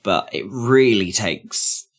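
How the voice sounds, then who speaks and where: A man podcast host giving a casual, mocking critique in an animated way. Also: fairly low pitch, slow pace.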